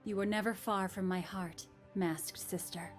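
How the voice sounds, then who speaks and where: A solemn young warrior woman from a video game, played by an actress, carrying guilt and quiet defiance. She speaks with measured restraint over background music. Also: mid-range voice, fairly even intonation, close to an everyday voice. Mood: weary, regretful.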